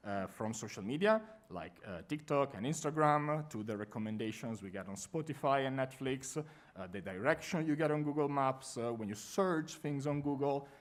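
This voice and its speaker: Italian-accented male presenter, mid-pitched, friendly conference-room delivery. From Italy. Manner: friendly, lively presenting with fillers. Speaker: workshop presenter. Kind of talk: conference workshop talk.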